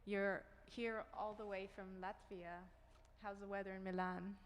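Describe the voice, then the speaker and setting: A woman's voice: mid-range voice, little pitch movement, unhurried, slight background noise. Young woman interviewing in a reverberant hall. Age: young adult. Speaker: interviewer, event host. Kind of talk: casual live interview.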